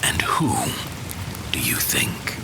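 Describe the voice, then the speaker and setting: An actor playing a menacing, scheming fallen villain in a fantasy audio drama, imperious and threatening, with a deep gravelly voice. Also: male voice, whispered, close to an everyday voice. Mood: sly, persuasive. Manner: slow, threatening, persuasive.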